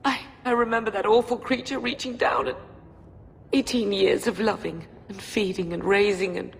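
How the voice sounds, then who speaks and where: An actress playing a grieving middle-aged mother in a fantasy video game, speaking in an emotional, halting way. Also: mid-range voice, fairly even intonation, gravelly, lightly acted. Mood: anguished. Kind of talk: dramatic video game voice acting. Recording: long reverb, music behind. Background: music.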